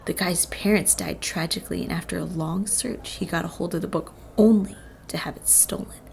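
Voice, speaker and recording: A woman's voice: fairly low pitch, brisk, gravelly, darkish tone, a little hiss or hum. A woman playing a young college student of the occult, narrating her story in a wry, world-weary, confiding way for an audio drama. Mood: intrigued. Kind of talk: first-person scripted audio drama narration.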